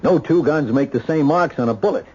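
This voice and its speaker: An American actor in a radio mystery playing a measured, skeptical police lieutenant who talks in a hesitant, conversational way. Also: male voice, on the high side, mellow, breathy edge. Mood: reasoning. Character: measured, skeptical male police ballistics lieutenant, helpful underneath. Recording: dull, noisy old radio broadcast.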